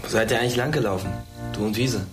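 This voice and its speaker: A young man playing an excitable, questioning teenage schoolboy in a naturalistic German radio drama. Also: fairly low pitch, fast talker, music in the background, lightly acted. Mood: curious, teasing. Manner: colloquial, probing, questioning. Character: excitable, inquisitive teenage schoolboy sheltering from an attack.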